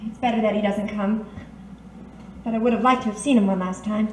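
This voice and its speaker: A woman's voice: medium pitch, steady tone, brisk, a little mellow, close to an everyday voice. A woman playing a plucky, stoic heroine in a detective audio drama, resigned yet brave and a little wry. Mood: wistful, anxious. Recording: echoey, distant, faintly noisy recording.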